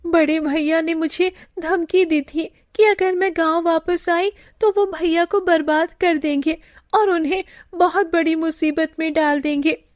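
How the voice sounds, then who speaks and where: A frightened, regretful young sister as a woman storyteller plays her in a cartoon story. She pleads emotionally in a very high, raised voice. The sound around her is dry. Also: no room sound.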